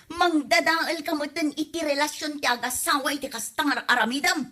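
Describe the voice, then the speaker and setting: A woman's voice: sits high, steady tone, fairly quick, sharp tone. A woman acting a hot-tempered married woman in a comedy drama, scolding and exclamatory. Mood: furious. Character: furious scolding married woman confronting a gossip.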